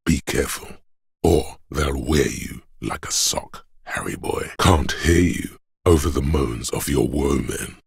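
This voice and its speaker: A man voicing a grim, stoic inner voice of endurance for a game, in a solemn, portentous manner with a husky, gravelly quality. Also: extremely low pitch, unhurried, no echo, heavily acted. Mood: grim, taunting. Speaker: voice actor. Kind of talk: dramatic game narration, second person.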